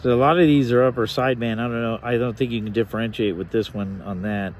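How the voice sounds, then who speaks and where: A man's voice: mid-range voice, steady tone, fast talker, slight background noise. A man giving a casual, hands-on gadget demo, unscripted and musing aloud as he tinkers. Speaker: vlogger reviewing a radio.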